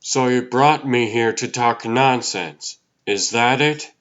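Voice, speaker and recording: A man plays a young comic-dub superhero with a medium-pitched voice on slightly dull audio. He talks slowly and bluntly, stern and defiant, refusing to be impressed. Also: a bit bright. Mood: defiant, impatient. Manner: blunt, terse, unimpressed. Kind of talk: dramatic acting in a comic dub.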